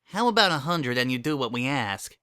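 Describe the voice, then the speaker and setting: A man's voice: mid-range voice, sing-song, a little fast, sharp tone, smooth, dry recording. A man voicing a weary, practical female cartoon pony, dry, terse and firm.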